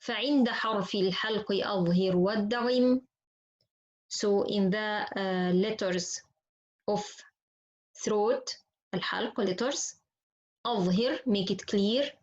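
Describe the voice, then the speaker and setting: A woman's voice: mid-range voice, normal speed, pauses often. Arabic-accented woman teaching, dull lo-fi sound. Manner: instructive, repetitive, poses questions then answers. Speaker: Quran recitation teacher. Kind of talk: explanatory lesson with recited examples. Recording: dull, lo-fi class audio.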